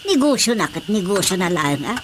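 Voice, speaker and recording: A woman's voice: mid-range voice, big pitch swings, brisk, natural voice. A woman playing a nosy, blunt and impatient market auntie in an Ilocano radio drama comedy. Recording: clear background noise.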